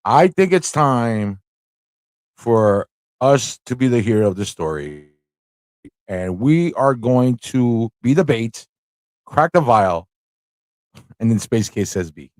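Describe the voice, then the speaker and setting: A man's voice: mid-range voice, sing-song, takes it slowly, long pauses, darkish tone, no echo. A man hosting a casual livestream, informal and playful as he thinks aloud and chats with viewers. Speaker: livestream host playing an interactive story.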